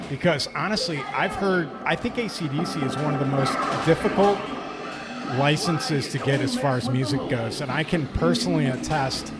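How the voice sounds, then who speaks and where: A man's voice: a little high, normal speed. A man hosting a live stream, chatting casually and teasing his guest with dry jokes. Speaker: stream host. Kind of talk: casual chat. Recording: loud music playing behind. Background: loud music.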